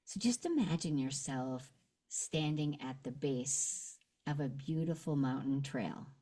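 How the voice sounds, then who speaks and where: Slow, low-voiced woman leading gentle meditation. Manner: gentle, unhurried, with long pauses. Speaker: guided meditation leader. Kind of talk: slow guided meditation and visualization.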